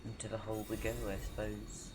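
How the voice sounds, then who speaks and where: Very low-voiced woman streaming a game. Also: monotone, fast, very noisy. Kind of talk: casual live gameplay commentary. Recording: loud game music behind the voice.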